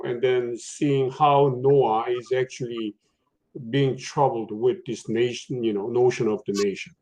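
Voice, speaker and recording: A professor on a book-talk panel, a man who thinks aloud in a halting way, full of fillers and restarts. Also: medium pitch, warm tone, low-quality recording. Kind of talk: panel discussion, academic.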